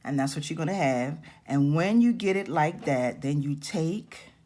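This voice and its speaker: Slow-talking raspy woman teaching crafts. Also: deep voice, lively intonation, warm tone. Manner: slow, patient step-by-step instructions. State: raspy-voiced this morning. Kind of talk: hands-on craft tutorial.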